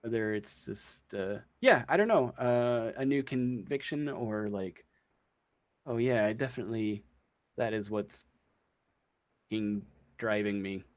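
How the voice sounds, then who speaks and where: A man speaking as game master in casual tabletop game talk, halting and searching for words as he goes. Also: on the low side.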